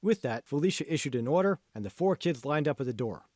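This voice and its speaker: A man reading scripted audiobook narration as an author, in measured, clearly phrased storytelling. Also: fairly high pitch, lively intonation, darkish tone, no echo, studio quality.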